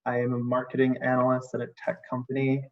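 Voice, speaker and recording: A man in his early twenties, a marketing analyst, introducing himself in an even, steady way. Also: mid-range voice, quick pace. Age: early 20s. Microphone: video call. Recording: distant, muffled mic.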